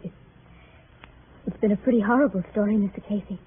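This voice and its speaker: An actress playing a proud, bitter young widow in old-time radio melodrama, halting, earnest and dramatic. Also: female voice, mid-range voice, close to an everyday voice. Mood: shaken hurt. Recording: noisy vintage radio, narrow phone-like band.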